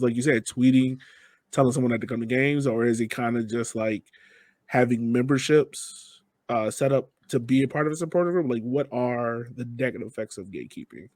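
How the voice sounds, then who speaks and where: A man's voice: mid-range voice, a little mellow, no echo. A man hosting a casual podcast interview, talking informally and thinking aloud as he frames his questions. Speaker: podcast host.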